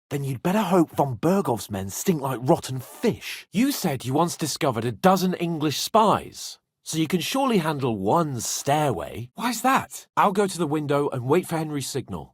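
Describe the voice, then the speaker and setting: Cocky young noble dude from a medieval game, hot-headed n teasing, bit raspy, super up and down delivery. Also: male voice, a little high, dry recording, studio quality, exaggerated voice. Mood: urgent. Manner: cocky, sardonic, swearing, expressive. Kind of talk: video game voice acting, dramatic dialogue.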